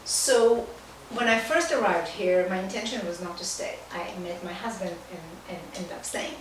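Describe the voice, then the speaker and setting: A woman's voice: fairly low pitch, normal speed. An Israeli-accented woman guesting on a homemade podcast, speaking with emphatic enthusiasm across a very reverberant room with a faint hiss. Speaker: podcast guest, life coach. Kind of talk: casual podcast interview. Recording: distant mic, very echoey room, faint noise.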